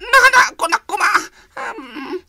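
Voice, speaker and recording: A man's voice: very animated, a little slow, vocal fry, bright, forceful tone, exaggerated voice. A man with a Filipino accent playing a cowardly, stammering, pleading man in a comic radio drama. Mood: panicked. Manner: stammering, whimpering, high-strung. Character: cowardly, pleading man begging not to be shot.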